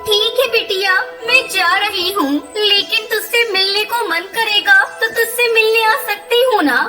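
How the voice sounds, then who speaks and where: A woman's voice: very high voice, measured pace. A woman voicing an emotional, insistent mother parrot in a children's cartoon. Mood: sad, resigned. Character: pleading older female parrot, insistent mother. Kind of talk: children's cartoon story dialogue. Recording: loud music under the voice.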